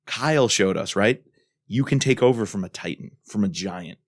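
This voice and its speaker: Podcast guy, lowish voice, chatty and really into it, emphatic, dry sound. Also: expressive, normal speed, dry recording. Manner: enthusiastic, emphatic. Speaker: podcast co-host. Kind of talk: casual discussion.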